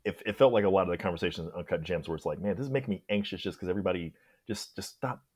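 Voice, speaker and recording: A man with a low, slightly rough voice appears as a podcast guest. He chats casually about a film, conversational and emphatic in his reactions. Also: racing, darkish tone, dry recording, clean studio sound. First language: English.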